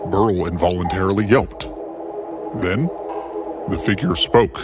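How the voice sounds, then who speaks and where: A man narrating a scripted story in a measured, deliberate, dramatic way. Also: low-pitched, music in the background.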